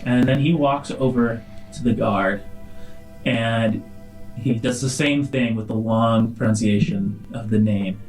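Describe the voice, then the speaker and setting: A man's voice: on the low side, a little slow, a little mellow, poor audio. Man narrating a tabletop game over music. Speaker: game master.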